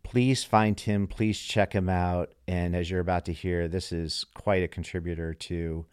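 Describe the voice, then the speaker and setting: A man reading a show intro from a script in a smooth, measured way into a close studio mic. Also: sits low, steady tone, a little mellow, dry recording. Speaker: show announcer.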